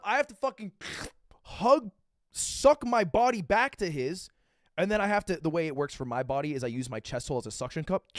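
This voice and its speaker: A man in his twenties, a streamer reacting to a video, telling a story with excitable, profane energy and acting out what others said. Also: high-pitched, dry recording, studio quality. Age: 20s. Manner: excitable, profane, acts out quotes.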